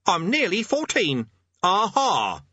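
High, squeaky, blustering teenage boy. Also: huge pitch swings, slow pace, pushed and bright, lo-fi sound, slight background noise, full character voice. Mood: defiant, petulant. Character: boy demonologist posing as an old man, eager, squeaky, petulant.

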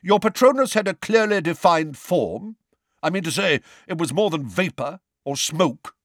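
A man voicing a stern, formidable older witch judge with a booming, brisk delivery. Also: wildly swooping pitch, no echo, clean studio sound, full character voice. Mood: booming, probing.

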